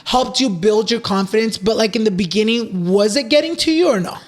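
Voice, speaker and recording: Brief: host in his twenties, strikingly high voice, animated bilingual chat, casual cursing. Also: a little fast. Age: 20s. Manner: expressive, mixes Spanish and English, swears casually. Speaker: podcast host.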